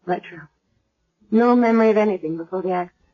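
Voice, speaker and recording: A woman's voice: mid-range voice, fast, lightly acted. An actress playing a self-assured female hospital doctor with dry, pointed retorts in a 1970s radio drama. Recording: dull old radio broadcast.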